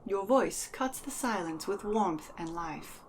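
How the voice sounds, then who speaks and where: A woman's voice: medium pitch, animated, takes it slowly, a little hiss or hum. A woman reading dramatic second-person adventure narration as a storyteller. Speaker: podcast host and narrator.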